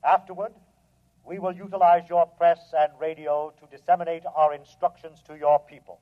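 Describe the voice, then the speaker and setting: An actor playing a calm, superior alien envoy in a 1950s radio drama, speaking in a formal, measured, condescending way. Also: male voice, on the high side, natural voice. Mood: commanding. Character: calm, superior male alien envoy demanding Earth's surrender. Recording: tinny, band-limited old radio broadcast.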